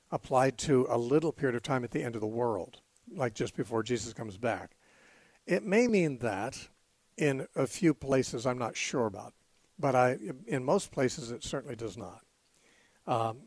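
A hoarse man hosting a live call-in Bible radio show, speaking with frequent fillers and restarts. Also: mid-range voice, darkish tone, no echo. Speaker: radio talk show host.

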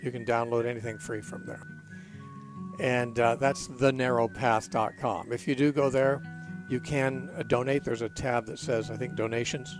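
A hoarse man hosting a live call-in Bible radio show, speaking with frequent fillers and restarts. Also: mid-range voice, fairly even intonation, moderate pace, music in the background. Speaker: radio talk show host.

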